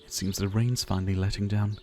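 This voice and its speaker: A man playing a gentle, ailing pharmacist in an ASMR roleplay, soft-spoken and courteous, with wistful, theatrical phrasing. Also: lowish voice, level tone, quick pace, soft background music, close to an everyday voice. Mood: warm, playful. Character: gentle, ailing male pharmacist, courteous, earnest, devoted healer. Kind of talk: ASMR roleplay addressed to the listener.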